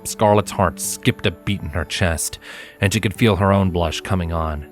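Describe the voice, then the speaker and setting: A man reading fiction aloud as an expressive narrator of a scripted story. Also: low-pitched, normal speed, faint music behind.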